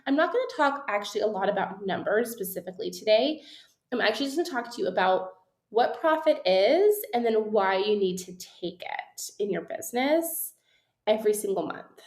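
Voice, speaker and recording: A woman's voice: mid-range voice, expressive, fairly quick. A woman in her thirties hosting a solo business podcast, chatty and upbeat, sounding slightly nasal from a cold, in an echoey office. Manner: chatty, upbeat, frequent ums and little laughs. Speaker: podcast host and business coach. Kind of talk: casual solo podcast talk. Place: her new home office, echoey room. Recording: echoey room.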